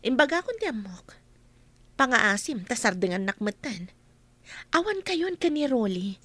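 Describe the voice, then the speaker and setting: A pleading young woman in a radio drama, high in pitch and very animated. She confides in distress, recorded close and dry. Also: measured pace, no echo, heavily acted. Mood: pleading, upset.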